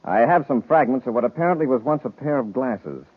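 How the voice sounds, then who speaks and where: An actor playing a commanding, sharp-witted space commander with an authoritative, composed manner in a 1950s radio serial. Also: male voice, mid-range voice, animated, fairly quick, breathy edge. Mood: brisk, businesslike. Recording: muffled vintage radio recording.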